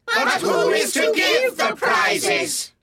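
A man voicing excited, clamouring small birds and animals in a children's storybook. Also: extremely high pitch, takes it slowly, loud music behind the voice, over a noisy crowd, voice pushed up, full character voice.

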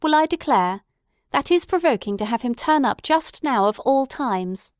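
A woman voicing an impatient, disparaging middle-aged mother who talks briskly and fussily. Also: sits high, expressive, no echo, clean studio sound, pitched above the performer's own voice.

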